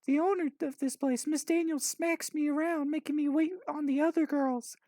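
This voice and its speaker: A man voicing a sarcastic, put-upon nineteen-year-old orphan girl in an exaggerated falsetto for a story reading. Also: fairly even intonation, moderate pace, darkish tone, no room sound, studio quality. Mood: bitter.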